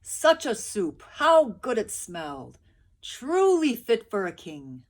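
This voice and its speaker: A woman's voice: fairly high pitch, very animated, takes it slowly, pitched above the performer's own voice. A woman reading the part of simple, awestruck village peasants in a storybook, earnest and emphatic. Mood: awed.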